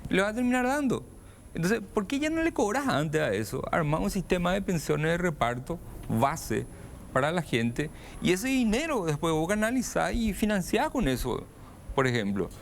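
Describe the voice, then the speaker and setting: Gravelly, animated man arguing. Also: high-pitched, normal speed, slight background noise. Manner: argumentative, rhetorical questions. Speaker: guest. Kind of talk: political discussion.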